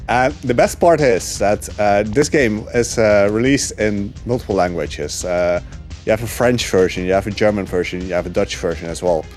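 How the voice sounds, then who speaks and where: Low-voiced man commentating a speedrun. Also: moderate pace, a little mellow. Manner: chatty, with frequent uh fillers and laughs. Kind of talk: casual commentary while gaming. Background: game music.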